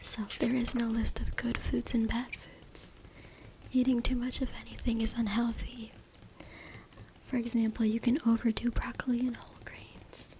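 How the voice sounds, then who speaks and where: A woman reading aloud in a soft, hushed, deliberate ASMR whisper, close to a USB microphone. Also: a little high, level tone. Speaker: ASMR creator reading from a book. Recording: noisy close USB mic with clicking. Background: noise, tapping and clicking sounds.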